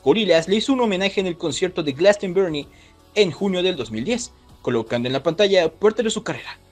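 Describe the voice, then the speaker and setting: Male video host, high register, very lively intonation, expressive scripted narration. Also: a little fast, soft background music.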